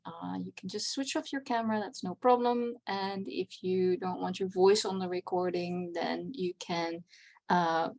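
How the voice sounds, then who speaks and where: A woman's voice: medium pitch, darkish tone. A Dutch woman whose first language is Dutch, giving a casual, chatty convention presentation over a video call, with wry asides. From Netherlands.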